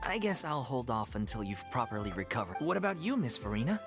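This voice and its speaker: A woman's voice: a bass voice, exaggerated voice. An actress voicing a blunt troupe member who needles her friend in a dry, teasing way.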